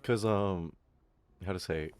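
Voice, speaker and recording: A man's voice: sits low, slow, smooth. A young man with an Australian accent that slips toward American, ranting casually on a stream, swearing and mocking people in put-on voices. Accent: Australian with some American.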